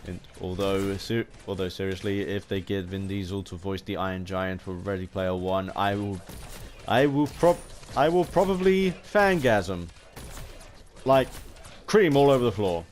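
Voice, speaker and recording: A man giving unscripted, rambling commentary as a gaming video host, halting and often restarting his sentences mid-word. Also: low-pitched, darkish tone. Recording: home recording with background noise.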